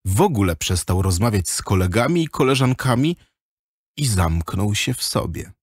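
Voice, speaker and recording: A man's voice: on the low side, very animated, moderate pace, dry recording, clean studio sound. A man narrating a children's audio drama in a scripted storybook style.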